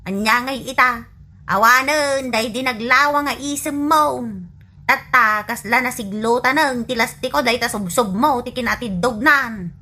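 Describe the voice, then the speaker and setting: An actress playing a blunt, exasperated village wife who nags sarcastically, in an Ilocano radio comedy drama with an Ilocano Filipino accent. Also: female voice, fairly high pitch, a little slow, sharp tone. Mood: nagging, mocking.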